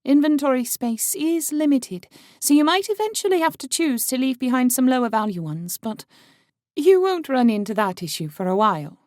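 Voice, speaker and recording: A man doing a brisk young woman adventurer. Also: very animated, no room sound, studio quality. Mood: explaining. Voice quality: high, light voice. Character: young woman adventurer, blunt and matter-of-fact. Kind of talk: audiobook character voice.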